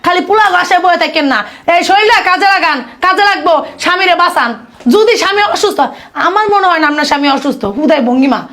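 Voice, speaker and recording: A woman's voice: very high-pitched, quick pace, a bit bright. A woman with a Bangladeshi accent playing a spiteful, stingy young daughter-in-law in a family drama, sneering, mocking and scolding.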